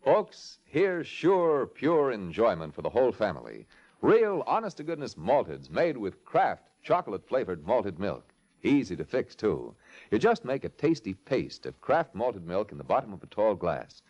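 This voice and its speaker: A man's voice: lowish voice, huge pitch swings, normal speed, darkish tone. An American man announcing on 1950s radio in a hearty, polished, scripted sales delivery. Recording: dull, band-limited old radio recording.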